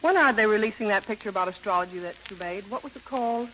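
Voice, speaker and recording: A woman's voice: mid-range voice, quick pace, fairly bright tone. A woman, an actress, making scripted stage chat on a 1930s radio broadcast. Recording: dull, noisy 1930s radio recording.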